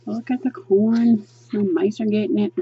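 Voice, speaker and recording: A woman's voice: mid-range voice, fairly even intonation, normal speed, highs cut off, slight background noise. A woman reviewing a coloring book as she flips through it, chatty and gushing, with lots of ums while she thinks aloud. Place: indoors at a table, hands seen flipping pages.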